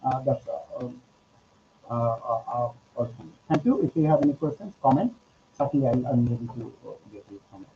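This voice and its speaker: A man's voice: mid-range voice, slight background noise. Hesitant man on a video call. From Bangladesh. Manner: halting, heavy with filler sounds. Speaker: presenter. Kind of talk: academic presentation and Q&A. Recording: muffled video-call audio.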